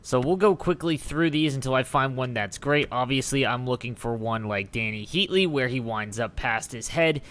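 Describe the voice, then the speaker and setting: Bright-voiced man doing gameplay commentary. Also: mid-range voice, normal speed, hardly any pauses. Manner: enthusiastic, informal. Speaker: gaming channel host.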